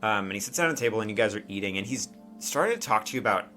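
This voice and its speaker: Low-voiced man running a tabletop game. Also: animated, rapid-fire, pushed and bright, faint music behind. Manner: conversational, checks in with "right?". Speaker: game master. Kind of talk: improvised tabletop game narration.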